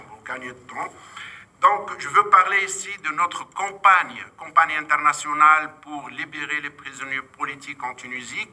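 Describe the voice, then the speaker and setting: Husky Arabic-speaking man giving a hesitant speech. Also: a little high, bright, forceful tone. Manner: hesitant, many fillers, repeats himself. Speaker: vice president of a victims' association. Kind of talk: panel speech.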